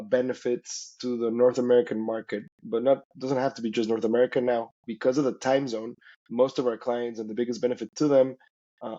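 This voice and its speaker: A man's voice: medium pitch, mellow, dull audio. A man from Mexico, a native Spanish speaker, explaining conversationally as an interview guest, often checking in with "right?". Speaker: interview guest, company founder.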